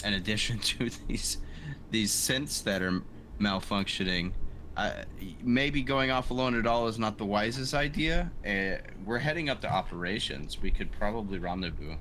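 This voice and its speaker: A man playing a tabletop roleplaying game, speaking casually and haltingly, partly in character. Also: on the low side, bright, forceful tone, poor audio. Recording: faint background noise.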